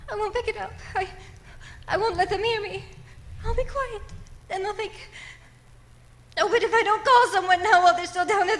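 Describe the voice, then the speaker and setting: A woman's voice: very high voice, sharp tone, slight background noise. A woman playing a frightened, demanding invalid lady alone at home, pleading and stammering in old-time radio suspense style.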